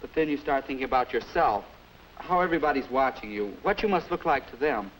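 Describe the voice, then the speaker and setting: A man's voice: medium pitch, animated, a little fast, slight background noise, lightly acted, poor audio. A young American man playing a shy, earnest schoolboy with hesitant delivery in a 1950s educational film, on an old clicky soundtrack.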